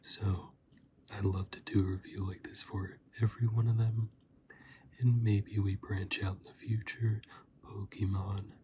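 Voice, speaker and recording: Soft, slow, low-voiced whispering man. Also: little pitch movement, noisy background. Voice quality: soft, breathy, often whispered. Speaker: video host and reviewer. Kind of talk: softly spoken ASMR movie review, casual.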